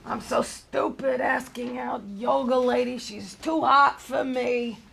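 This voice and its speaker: A woman's voice: on the high side, fairly even intonation, takes it slowly, gravelly, a bit bright, full character voice. A woman playing an anxious, lovelorn male bar owner in his fifties, exasperated and stammering, at a live comedy table read. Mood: whiny, dejected. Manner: exasperated, self-pitying, stammering. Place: theater stage, live show. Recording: live stage recording, faint background noise.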